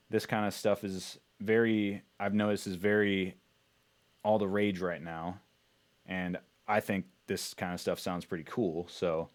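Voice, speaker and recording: A man talking casually as he explains his music production work in an informal, instructional way. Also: sits low. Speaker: music producer presenting a tutorial.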